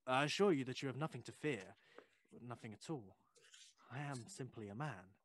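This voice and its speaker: A male actor playing a courteous, inquisitive Victorian gentleman in an audio drama, hesitant and effusive with old-fashioned phrasing. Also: mid-range voice, normal speed, very noisy, close to an everyday voice. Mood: unnerved, protesting.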